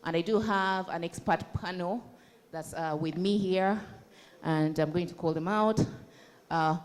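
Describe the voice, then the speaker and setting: Woman, slightly low voice, panel moderator, informal public address. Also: unhurried.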